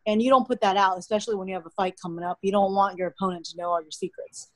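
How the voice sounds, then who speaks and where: A woman's voice: mid-range voice, racing, no room sound. Chatty young woman, dry close sound. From United States. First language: Mandarin. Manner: relaxed, chatty storytelling with frequent "like". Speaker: podcast guest. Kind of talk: casual interview conversation.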